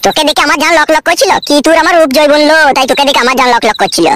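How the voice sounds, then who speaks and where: Woman doing a squeaky crude cartoon husband. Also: expressive, very fast, a bit bright. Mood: bickering. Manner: crude, mocking, cursing. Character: lazy crude husband coming home late. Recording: hissy noise behind.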